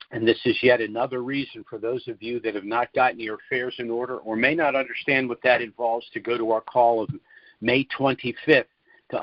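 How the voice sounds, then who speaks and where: A man with a mid-range voice gives explanatory commentary as a call presenter. He speaks in a deliberate, formal manner, pausing and hesitating as he lays out his points. The audio is dry and somewhat dull, like a lo-fi conference line. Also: mid-range voice, no room sound.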